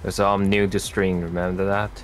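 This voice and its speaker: A man's voice: low-pitched, flat delivery, normal speed. A man from Taiwan, a newer streamer, chatting over a call in careful English with short questions. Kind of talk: casual chat. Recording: music playing behind.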